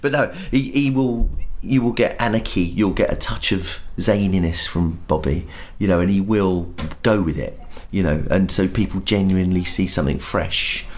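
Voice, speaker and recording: A man's voice: low voice, normal speed, few pauses, slight background noise. A man in his fifties, a pantomime performer, chatting casually backstage and slipping into silly voices now and then. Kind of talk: casual backstage interview chat. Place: backstage at a theatre.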